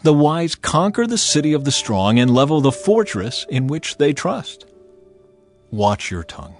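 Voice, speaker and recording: A man reading scripture as scripted dramatized narration, in a measured, emphatic and expressive way. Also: a little high, faint music behind. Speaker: audiobook Bible narrator.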